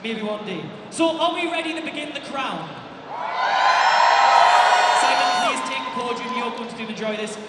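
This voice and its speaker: A man with a Geordie accent hosting a convention stage show, his voice going hoarse, joking and teasing as he introduces entrants. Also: very high-pitched, wildly swooping pitch, normal speed, pauses often, distant mic. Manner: playful, teasing banter with the crowd. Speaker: event host. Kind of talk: stage compering. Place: large convention hall stage. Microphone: handheld PA microphone. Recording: echoey PA in large hall, heard from afar. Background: loud crowd and hall noise.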